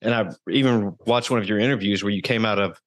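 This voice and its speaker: Casual male podcast host, lowish voice, meandering questions. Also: quick pace, low-quality recording. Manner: rambling, hesitant, many "you know"s. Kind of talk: casual interview.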